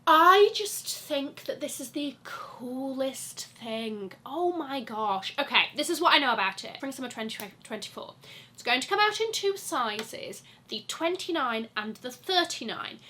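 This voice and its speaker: A young woman, stuffy from a cold, chatting in a gushing, animated way about her luxury fashion wishlist. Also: sits high, unhurried. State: congested from a cold. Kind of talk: chatty sponsored wishlist vlog.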